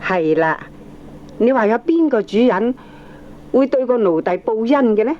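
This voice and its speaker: An actress with a Hong Kong accent playing an opinionated middle-aged aunt, lecturing firmly and emphatically in a radio drama. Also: female voice, a little high, lively intonation, a little slow. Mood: preachy, assured. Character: opinionated aunt, firm, preachy family adviser. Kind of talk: Cantonese radio drama acting. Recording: dull, slightly noisy old cassette recording.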